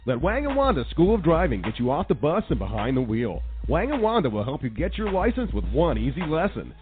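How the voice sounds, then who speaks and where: Animated male ad announcer, acted, tinny sound. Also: a little fast. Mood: upbeat selling. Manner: earnest salesman pitch. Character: upbeat commercial announcer for dodgy driving school. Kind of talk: scripted parody radio ad. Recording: tinny lo-fi radio, faint music.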